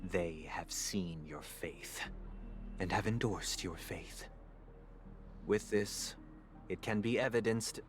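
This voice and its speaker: A solemn believer in a game drama, gentle on the surface and coldly resolute. The voice is very low and breathy, moving slowly and ceremonially over faint music. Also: male voice. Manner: grave, ceremonial, deliberate. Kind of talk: dramatic video game voice acting.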